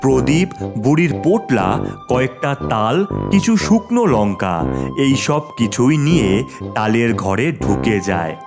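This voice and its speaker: A man narrating a children's cartoon tale as a scripted, expressive storyteller. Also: medium pitch, measured pace, loud music behind the voice.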